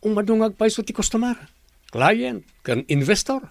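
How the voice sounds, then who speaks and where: Gravelly, demanding Ilocano boss. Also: male voice, high voice, sing-song, normal speed, no echo, heavily acted. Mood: businesslike. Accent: Ilocano Filipino. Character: stern, demanding male company boss and family patriarch. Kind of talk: Ilocano radio drama acting.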